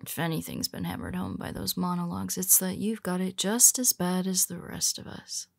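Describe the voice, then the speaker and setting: A woman playing a weary, teasing female superhero who speaks softly to her nemesis, often dropping to a whisper. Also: on the low side, normal speed, gravelly, no room sound. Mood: wry teasing. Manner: teasing, soft-spoken, slips into whispers. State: tired. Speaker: audio roleplay performer. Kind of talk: intimate audio roleplay.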